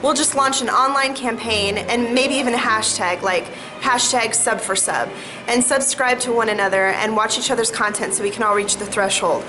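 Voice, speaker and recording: Defiant female rabble-rouser, acted by a woman, fairly high voice, comedy sketch, clear background noise. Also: normal speed, close to an everyday voice. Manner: rallying, defiant. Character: defiant female creator rallying fellow protesters.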